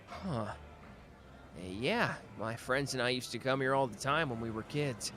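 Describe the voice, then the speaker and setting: An actor playing a hot-tempered but protective young man who talks gruffly and swears in an audio roleplay. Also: mid-range voice, over music, natural voice. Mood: warm. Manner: gruff, swearing, quick to snap. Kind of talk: ASMR roleplay acting.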